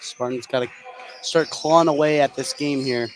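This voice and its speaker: A man's voice: mid-range voice, fairly even intonation, moderate pace, a little hiss or hum. A man working as a color analyst, explaining a live basketball game in a casual, conversational way. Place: indoor basketball gym.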